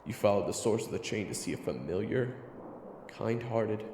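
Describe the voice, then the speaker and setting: A man's voice: fairly low pitch, expressive, normal speed, echoey room, heavy background noise. A man narrating an audio roleplay drama in a dramatic storytelling style, addressing the listener as a character. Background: dramatic music.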